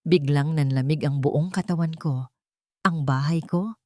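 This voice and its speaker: Woman, story narrator, scripted first-person drama, lowish pitch, dry sound. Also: unhurried, darkish tone, dry recording.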